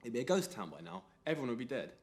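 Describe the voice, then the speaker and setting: Casting: male actor as deadpan, contrary young British man, medium pitch, argumentative, sweary, natural radio drama. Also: rapid-fire, slight background noise, lightly acted. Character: cynical, contrary young friend with bleak theories. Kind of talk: naturalistic radio play dialogue.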